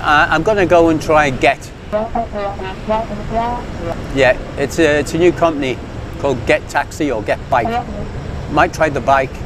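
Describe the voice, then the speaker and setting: A man's voice: on the high side, noisy background. An older man on a phone call outdoors at night, bemused and dry in a comic sketch. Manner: dry, bemused, laughs often. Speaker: comedy show regular. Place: dark outdoor seating at night.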